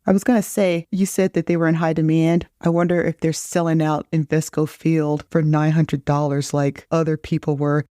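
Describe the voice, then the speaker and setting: A woman's voice: low-pitched, level tone, moderate pace, hardly any pauses, warm tone, no room sound. A woman co-hosting a podcast, reacting and bantering casually in a playful, joking way. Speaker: podcast co-host.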